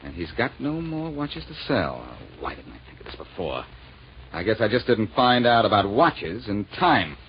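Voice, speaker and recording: A man's voice: fairly low pitch, very animated, normal speed. A man playing a confident, probing amateur detective in an old-time radio drama. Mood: probing, concerned. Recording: muffled noisy vintage radio recording.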